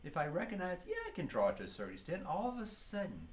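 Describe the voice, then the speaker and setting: A man's voice: fairly high pitch, huge pitch swings, fast talker. A man giving a leadership training lecture, teaching by posing questions and answering them, with emphasis on key words. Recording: clearly noisy recording.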